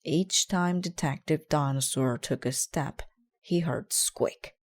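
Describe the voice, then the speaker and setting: A woman's voice: sits low, slow pace. A woman reading a children's storybook aloud as scripted narration. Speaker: children's book reader.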